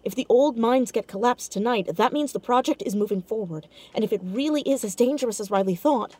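An actress playing a determined young amateur sleuth in an audio drama, urgent and earnest. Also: female voice, a little high, a little fast, dark tone, husky. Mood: urgent, resolute. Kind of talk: scripted audio drama dialogue.

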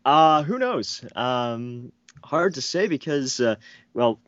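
A slightly hoarse man co-hosting a podcast, chatting casually in a halting, rambling way. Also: mid-range voice, expressive, no echo. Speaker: podcast co-host. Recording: dull, dry, lo-fi voice track.

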